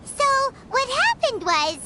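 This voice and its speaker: A woman voicing a tiny, outspoken, childlike companion in an anime game, perky, blunt and expressive. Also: very high-pitched, slow pace, very noisy, full character voice. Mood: chirpy, concerned.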